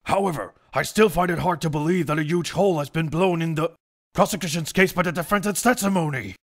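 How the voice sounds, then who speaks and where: A man's voice: on the high side, a little fast, close to an everyday voice. A man voicing a stern but easily baffled older judge with formal delivery in a courtroom fan dub. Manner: formal, pronouncing. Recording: music behind the voice.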